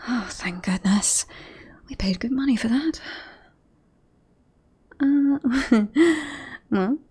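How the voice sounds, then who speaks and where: Woman acting a mellow, devoted yandere bodyguard. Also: a little high, breathy edge, full character voice. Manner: polite, reassuring, professional patter with awkward slips into hushed intimacy. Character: devoted yandere bodyguard woman, composed front over creepy obsession. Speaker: voice actor in ASMR roleplay. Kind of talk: ASMR roleplay, scripted.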